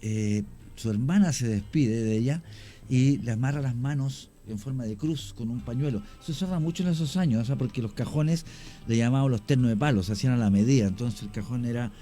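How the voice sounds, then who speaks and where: A man's voice: medium pitch, brisk, mellow, faint music behind. A man with a Chilean accent, a radio guest telling cemetery stories at length with frequent hesitations. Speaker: guest storyteller on cemetery history. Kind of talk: radio conversation, storytelling. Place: radio studio.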